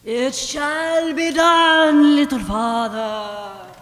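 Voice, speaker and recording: Very slow, servile alien creature. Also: high voice. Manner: drawn-out, servile delivery. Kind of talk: radio play acting. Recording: echoey hall with music behind.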